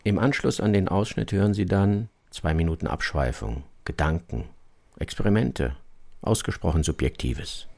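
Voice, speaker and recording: A man presenting a scripted radio introduction in a measured, explanatory way. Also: sits low, animated, gravelly, a little mellow.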